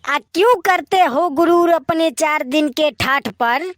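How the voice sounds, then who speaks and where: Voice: high-pitched, slow pace, fairly bright tone, no room sound, clean studio sound. A performer voicing an opinionated, grumbling young village bachelor in a comedy cartoon, with an Awadhi accent and a complaining, lecturing delivery.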